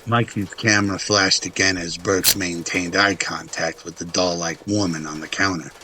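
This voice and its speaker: A man's voice: low voice, fairly even intonation, normal speed, sharp tone, a little hiss or hum. A man reading scripted dramatic narration as the narrator of a story.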